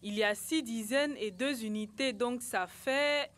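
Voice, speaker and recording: Woman playing an upbeat, high-voiced cartoon child. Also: a little slow, a bit bright. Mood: counting, bright. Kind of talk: educational cartoon dub.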